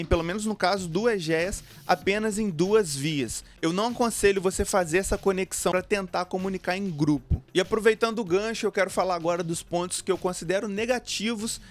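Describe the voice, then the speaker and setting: A man's voice: sits high, a little fast, hardly any pauses. A man with a Brazilian Portuguese accent presenting a product review in an explanatory, informal way. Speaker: motorcycle gear review video host. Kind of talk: product review narration to camera or voice-over. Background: background music.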